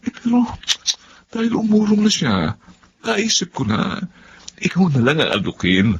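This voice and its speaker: A man playing a pushy, flattering politician in a Filipino drama, animated and wheedling, with hesitant stammers. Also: sits high, normal speed, exaggerated voice. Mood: coaxing. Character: pushy, flattering male politician who turns scornful. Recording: muffled lo-fi drama recording with background noise.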